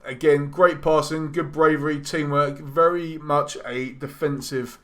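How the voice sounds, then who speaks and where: A man giving casual, rambling commentary over a football management game, his voice going a little hoarse. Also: fairly high pitch, steady tone, a little slow, a bit bright. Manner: chatty, rambling, with frequent ums and self-corrections. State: losing his voice. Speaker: gaming YouTuber.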